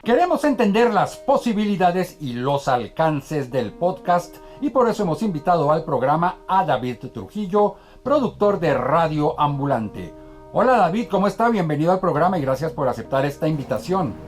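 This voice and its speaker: A man's voice: a little high, big pitch swings, normal speed, faint music behind. A man hosting a formal interview as a TV presenter. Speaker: TV show host and interviewer.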